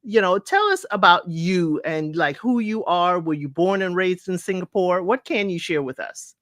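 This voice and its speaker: A man's voice: sits high, normal speed, a bit bright, no room sound, clean studio sound. A man hosting an interview, asking questions eagerly and reacting with short interjections. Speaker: podcast host and interviewer.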